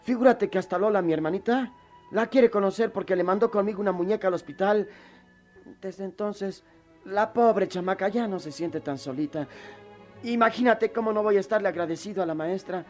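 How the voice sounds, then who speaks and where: Radio drama schoolboy male actor: poor, sincere, high voice, emotive playing over music. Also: a little fast, darkish tone, lightly acted. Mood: earnest, grateful. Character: earnest, grateful poor schoolboy, friend and big brother.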